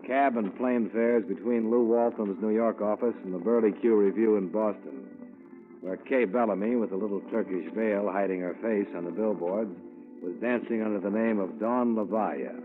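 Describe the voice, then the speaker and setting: An American actor playing a tough, wry insurance investigator in a 1950s radio detective drama, terse and hard-boiled. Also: male voice, on the low side, normal speed, natural voice. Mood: wry narration. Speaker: lead actor and narrator of a detective serial. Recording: tinny vintage 1950s radio, music behind.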